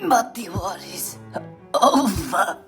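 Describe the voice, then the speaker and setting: A man's voice: sits high, expressive, takes it slowly, a bit bright, soft background music, voice pushed up, heavily acted. A man voicing a suffering, defeated robot prisoner in a comic dub, weak and pleading. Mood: anguished, weary. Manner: weak, pleading, breathy whisper.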